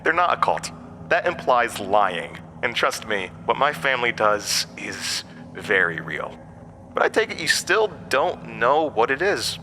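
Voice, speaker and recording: Man playing a sharp, taunting, undying entity. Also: lowish voice, a little hiss or hum, heavily acted. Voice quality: broken-windpipe voice, borrowed from another man. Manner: mocking, theatrical, cryptic, chuckling asides. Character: mysterious undying male entity in a hat, taunting, weary. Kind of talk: horror audio drama acting.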